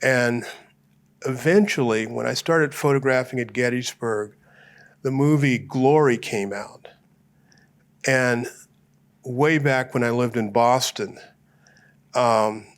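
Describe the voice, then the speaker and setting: A man's voice: mid-range voice, slow pace, long pauses. An older American man, a photographer and professor, reflectively telling the story of his work in a public museum conversation. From United States.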